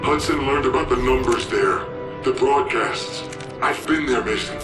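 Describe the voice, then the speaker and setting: An actor playing a stern, demanding male interrogator in a video game, speaking with forceful, insistent command. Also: mid-range voice, normal speed, roomy sound, far from the mic, music in the background, lightly acted. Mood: cold, pressing. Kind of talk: dramatic video game acting.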